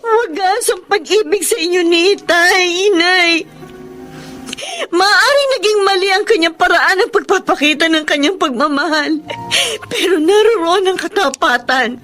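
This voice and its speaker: A woman's voice: very high-pitched, measured pace, fairly bright tone, soft background music. A woman playing a suffering eighteen-year-old daughter in a Filipino radio drama, plaintive and reproachful. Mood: pleading reproach. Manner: emotional, plaintive delivery.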